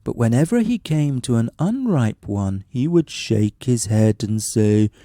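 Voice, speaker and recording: A man with a mellow, medium-pitched voice tells a bedtime story. He reads expressively, like a practised storyteller, in a close, dry recording. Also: animated, a little slow, no room sound. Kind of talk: scripted bedtime story narration.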